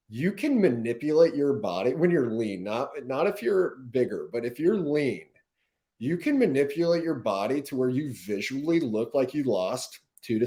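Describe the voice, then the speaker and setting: A man in his twenties, a guest on a casual podcast, talking in a candid, animated way full of quick asides. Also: fairly high pitch. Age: 20s.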